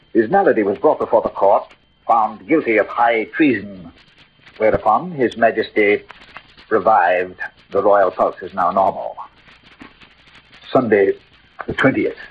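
Old radio play: a dying romantic swordsman, low, poetic and halting, on a dull, noisy recording. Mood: wry, failing. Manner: theatrical, halting, poetic delivery. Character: ailing, gallant middle-aged romantic swordsman-poet hiding his love. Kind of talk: 1940s-50s radio drama acting.

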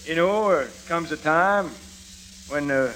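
An actor playing a bashful, dim-witted lovesick cowboy with a folksy drawl in a 1940s radio comedy. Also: male voice, on the high side, a bit bright. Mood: bashful courting. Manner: slow, rambling, hollering. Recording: old hissy radio recording, audience, music.

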